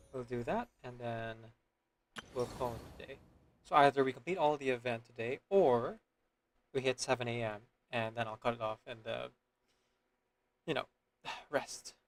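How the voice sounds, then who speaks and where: A man doing casual gameplay commentary, musing to himself in a hesitant, trailing way. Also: mid-range voice. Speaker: streamer. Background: game music behind the voice.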